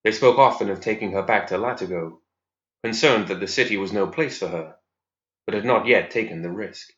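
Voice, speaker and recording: A man reading fantasy fiction as scripted narration in a measured, serious storytelling manner. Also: on the low side, fairly bright tone. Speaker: story reader. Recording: roomy, slightly dull recording.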